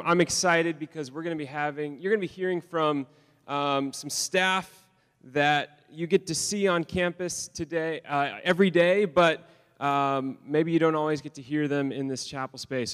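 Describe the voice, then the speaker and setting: A man's voice: a little high, a bit bright, clean tone. A man, the campus pastor, welcoming a chapel crowd and giving announcements in a friendly, loose way with fillers. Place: large auditorium stage. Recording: long hall reverb.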